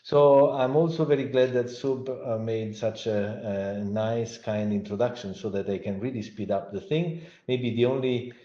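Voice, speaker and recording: A middle-aged man with an Italian accent delivers an online lecture in a mellow, low voice. He pauses often and restarts his sentences. The sound is roomy and muffled, as over a remote call. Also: fairly even intonation. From Italy. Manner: halting, frequent fillers and restarts. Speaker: guest lecturer, professor. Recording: echoey, dull lo-fi online audio.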